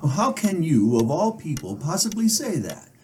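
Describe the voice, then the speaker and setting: An actor playing a jovial, talkative ghost in an audio drama, chatty and teasing, with a sputtering, theatrical delivery. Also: male voice, on the high side, big pitch swings, moderate pace, darkish tone, a little hiss or hum. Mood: exasperated, chatty. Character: jovial talkative male ghost of dead football pal.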